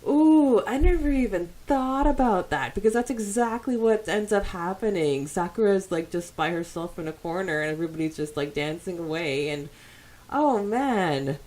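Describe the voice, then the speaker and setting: A woman's voice: mid-range voice, lively intonation, moderate pace, darkish tone, rough recording. A woman co-hosting a casual fan podcast, reading out listener comments and reacting aloud in an informal, conversational way. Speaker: podcast co-host.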